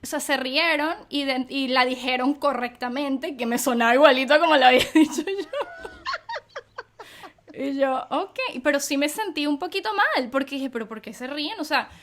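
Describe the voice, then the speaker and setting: A young woman with a higher-pitched voice hosts an interview in a casual, chatty way. She affirms her guest often and tells her own stories with quick asides. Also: slight background noise. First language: Spanish. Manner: warm, affirming listener. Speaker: podcast host.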